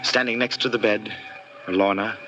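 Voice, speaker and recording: An actor playing an obsessive, anguished concert pianist in 1940s radio horror, with a melodramatic, halting, intense delivery. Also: male voice, lowish voice, fairly even intonation, muffled recording, music in the background, natural voice. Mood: brooding narration. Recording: noisy old radio drama recording.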